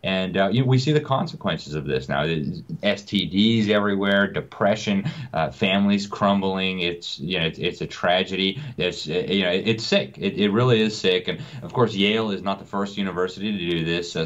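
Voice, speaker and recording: A man with a very low, deep voice speaks as an interview guest. He sounds indignant and stumbles through frequent fillers and restarts. The audio is dull and lo-fi. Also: fairly quick, hardly any pauses, fairly bright tone. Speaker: guest commentator. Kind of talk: talk show interview.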